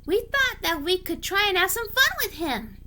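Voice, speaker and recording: Woman as an eager, high-voiced little filly. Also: very animated, normal speed, background noise. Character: eager, playful little filly, young girl pony.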